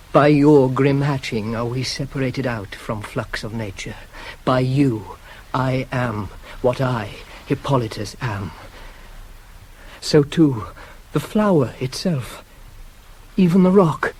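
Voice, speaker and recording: A devout young hunter acted by a man worships his goddess in radio verse tragedy. He declaims very reverently at a slow pace and medium pitch, pausing long between phrases, over faint cassette hiss. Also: darkish tone, close to an everyday voice, rough recording. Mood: fervent devotion. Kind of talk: classical verse radio drama. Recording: hissy 1980s cassette of radio play.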